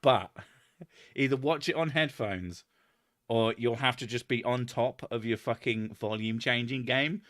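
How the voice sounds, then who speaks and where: A man hosting a casual chat, loud and booming, swearing freely as he answers viewer comments. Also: measured pace, no room sound, clean studio sound. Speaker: channel host.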